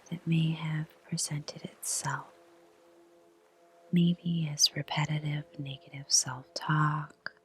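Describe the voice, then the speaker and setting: A woman guiding a meditation in a soft whisper, with a slow, soothing delivery and long pauses. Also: deep voice, slight background noise. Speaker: meditation guide. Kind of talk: guided meditation.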